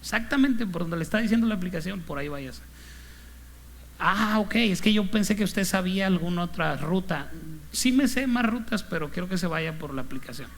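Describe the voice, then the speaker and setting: A man's voice: sits high, animated, brisk, slight background noise. A man with a northern Mexican accent preaching as a pastor in a conversational, anecdotal style with punchy, clipped delivery.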